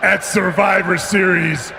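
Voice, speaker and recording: Gravelly, menacing undead wrestler. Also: male voice, high voice, steady tone, background noise. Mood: ominous threat. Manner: slow, solemn, threatening pronouncements.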